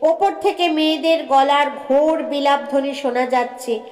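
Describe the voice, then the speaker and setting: A woman narrating a short story in a measured, expressive, dramatic audiobook style. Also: sits high. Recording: echoey, long reverb.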